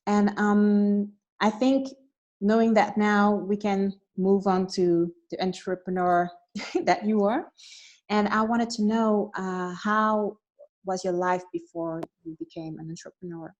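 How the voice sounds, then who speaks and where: A woman hosts a casual interview in a friendly but hesitant way, pausing on fillers between her questions. Her pitch sits in a middle range. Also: fairly even intonation, a little mellow, muffled recording. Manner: friendly, hesitant, with many fillers and small laughs. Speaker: interview host.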